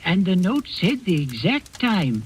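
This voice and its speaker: An actor playing a rambling, sly old Danish servant who feigns senility, in 1940s radio drama style. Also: male voice, sits high, huge pitch swings, a little slow, a little hiss or hum, full character voice. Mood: meekly rambling. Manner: rambling, deferential, theatrical. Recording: old radio recording with music behind.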